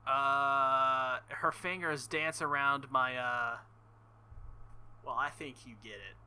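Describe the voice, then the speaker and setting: A man reading a visual novel aloud with casual commentary, halting and embarrassed, often breaking into nervous laughter. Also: mid-range voice, fairly even intonation, bright, forceful tone, clear, resonant tone. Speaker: let's play host.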